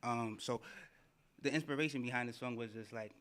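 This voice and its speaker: A man whose first language is Yoruba, speaking softly and hesitantly on stage before singing. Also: medium pitch, steady tone, fairly quick. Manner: soft-spoken, hesitant between songs. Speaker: singer performer. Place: small performance venue, indoors.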